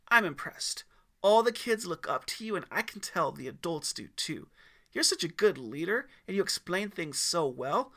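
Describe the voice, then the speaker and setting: A man voicing an eager, flattering teenage boy scout with a squeaky, cracking voice and an earnest, wheedling way of talking. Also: exaggerated voice.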